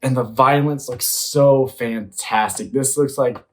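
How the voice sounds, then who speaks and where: Excited man reacting to a movie trailer. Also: medium pitch, a little slow. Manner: enthusiastic, slangy. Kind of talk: excited casual commentary to viewers.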